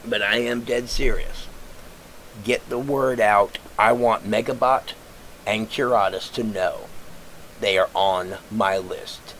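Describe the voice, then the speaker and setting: A man talks into a webcam at home, his voice a little low and raspy, as if congested. He rambles casually, restarting sentences and filling gaps with "uh" while he thinks aloud. A faint hum of room ambience sits behind him. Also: steady tone, slow, fairly bright tone, poor audio. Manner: rambling, lots of fillers and restarts. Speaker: vlogger.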